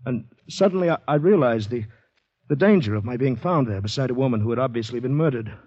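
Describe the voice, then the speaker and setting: An actor playing a distraught, haunted man in a 1940s radio drama, telling his story in breathless, halting dramatic phrases. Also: medium pitch, expressive, a little mellow, slightly rough. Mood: shaken. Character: distraught haunted man recounting finding a murdered woman. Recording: muffled old radio broadcast recording.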